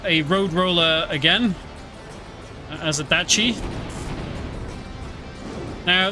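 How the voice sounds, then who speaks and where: A man casually commentating a video game stream, explaining the story as he plays. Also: high-pitched. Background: game music.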